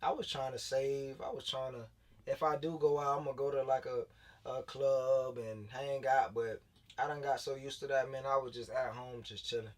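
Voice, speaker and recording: Man in his late twenties, relaxed casual storytelling, lots of fillers, mid-pitched voice, set back from the mic. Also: moderate pace, recorded from a distance. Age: late 20s. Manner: loose, laid-back storytelling with many fillers and restarts. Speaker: guest, former pro football player turned high school coach. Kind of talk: casual interview talk.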